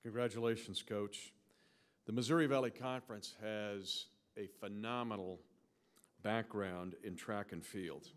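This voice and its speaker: Guy with a lowish voice mc'ing an awards thing, formal scripted intros, measured delivery. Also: steady tone. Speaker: ceremony announcer.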